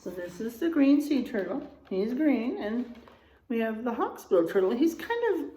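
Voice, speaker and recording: A woman teacher reading a children's storybook aloud in an expressive way, adding explanations and checking in with her young listeners. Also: fairly high pitch, unhurried. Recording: roomy, echoing room sound.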